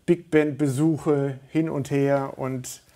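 Middle-aged man, studio interview guest, earnest, halting, mid-pitched voice. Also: steady tone, dark tone. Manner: earnest, hesitant with many fillers. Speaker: studio guest, volunteer organizer.

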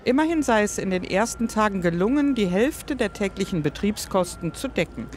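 A man reads a formal news report in an even, steady way. His voice sits noticeably high for a man. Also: a little fast.

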